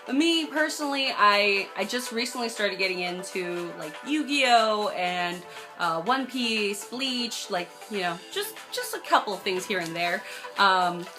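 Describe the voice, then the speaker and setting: A woman's voice: mid-range voice, expressive, unhurried, music in the background. A young adult American woman chatting casually to camera as she tells a personal story, bubbly, gushing and emphatic. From United States. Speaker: vlogger telling a personal story.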